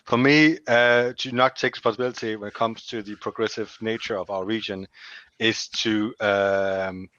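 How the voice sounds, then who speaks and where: Young Danish panelist, twenties, lower voice, animated and frank, restarts often; muffled video-call sound. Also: male voice, normal speed, dry recording. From Denmark. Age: 20s. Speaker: youth organization chair, panelist. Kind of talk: online panel discussion. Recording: dull dry video call audio.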